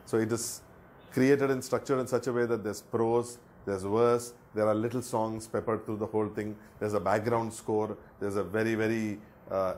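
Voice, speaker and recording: A man's voice: on the low side, darkish tone, slight background noise. A man explaining a learning method in an earnest way, repeating words for emphasis. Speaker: education presenter. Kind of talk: explanatory talk.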